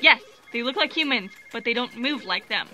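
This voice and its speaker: A woman's voice: fairly high pitch, animated, moderate pace, sharp tone. A woman playing an eager, scatterbrained fact checker, chirpy and pedantic, in comic sci-fi audio theatre. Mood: chirpy, insistent. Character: eager, scatterbrained young female fact checker on a cosmic broadcast.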